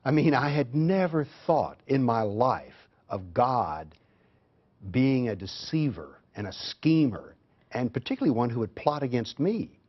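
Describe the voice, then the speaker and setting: A man answering as a guest on a talk show, recounting his experience in a measured, earnest way. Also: medium pitch, very animated, muffled recording. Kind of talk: interview answers.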